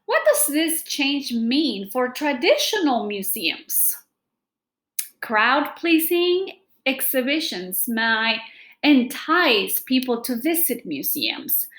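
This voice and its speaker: A middle-aged woman reading aloud to students as a teacher, explaining deliberately and addressing them directly in a room at home. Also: high-pitched, a bit bright, echoey room. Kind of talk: read-aloud lesson with simple explanations. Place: small room at home, indoors. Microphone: laptop or webcam microphone at desk distance.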